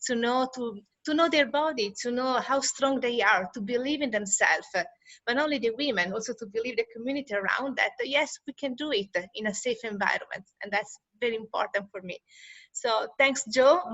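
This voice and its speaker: A woman in her forties from Italy with an Italian accent, a midwife talking earnestly on a panel in halting English and laughing often. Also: a little high, a bit bright, no room sound, rough recording. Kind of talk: casual panel chat.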